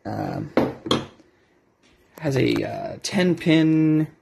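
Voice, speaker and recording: A man's voice: medium pitch, lively intonation, extremely slow, gravelly, very noisy. A man giving a casual, unscripted show-and-tell as a hobbyist, hesitant and correcting himself, recorded on a handheld cell phone indoors at a desk. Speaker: hobbyist video maker.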